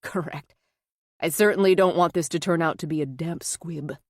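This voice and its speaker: A woman's voice: low voice, normal speed, no echo, clean studio sound, close to an everyday voice. A woman voicing an earnest, slightly awkward man in an audiobook, restrained and plain-spoken.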